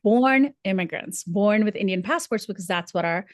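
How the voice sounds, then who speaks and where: A woman who grew up in Dubai talks through an issue in an earnest, thoughtful way, often pausing on fillers and restarting her sentences. Her voice sits in a middle pitch. The sound is dry and close, with no room around it. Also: dry recording. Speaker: senior correspondent. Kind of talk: conversational commentary.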